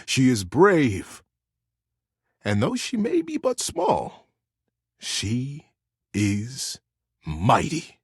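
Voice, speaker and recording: A man voicing a proud, kindly male leader in a video game bedtime story, declaiming to his community with deliberate pauses. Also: medium pitch, wildly swooping pitch, slightly rough, no room sound, studio quality, full character voice.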